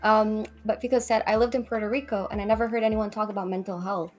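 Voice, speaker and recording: A woman's voice: medium pitch, flat delivery, quick pace, a little mellow. A young woman from Lebanon speaking as a guest in a conversational discussion, earnest and thoughtful. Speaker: guest, psychology PhD student. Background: background music.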